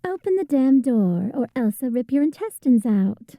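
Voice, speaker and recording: A woman's voice: sits high, sing-song, moderate pace, dark tone, no echo. A woman voicing a menacing, playful female villain in an anime parody, teasing and threatening. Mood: playful menace.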